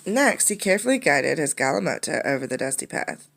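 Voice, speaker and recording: Woman, low register with gravelly edges, for an expressive read-aloud; teacherly, puts questions to young listeners. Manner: expressive narration, pausing to pose simple questions. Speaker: teacher reading a picture book aloud.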